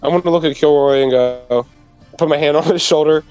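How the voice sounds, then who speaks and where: Male tabletop roleplayer, slightly high pitch, informal chatty delivery, faint music in the background. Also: brisk, a little mellow, rough recording. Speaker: player in a tabletop roleplaying game. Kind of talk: casual in-game chat and roleplay.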